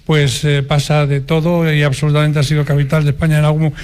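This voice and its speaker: A man's voice: fairly high pitch, level tone, fast, a little mellow, clear, resonant tone. A man with a Spanish accent from Spain, co-hosting an informal chatty interview. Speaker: interviewer, co-host.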